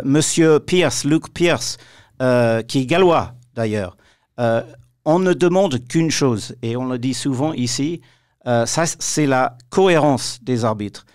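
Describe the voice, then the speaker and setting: A man's voice: medium pitch, clear, resonant tone, no room sound, studio quality. A man giving his opinions as a pundit in a sports radio discussion.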